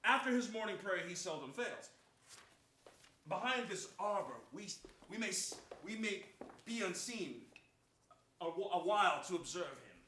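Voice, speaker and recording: A man's voice: sits high, expressive, a little slow, long pauses, bright, forceful tone, a little hiss or hum, heavily acted. A middle-aged man playing a dutiful, sympathetic prison lieutenant, formally declaiming Shakespearean verse in a staged reading. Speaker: stage actor. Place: large indoor theater stage before an audience. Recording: echoey theater stage recording.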